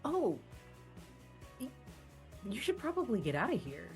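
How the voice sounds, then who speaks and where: A woman's voice: a little high, huge pitch swings, a little slow, faint music behind, exaggerated voice. A woman playing a shy, awkward, friendly young woman in a live comedic table read. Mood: flustered.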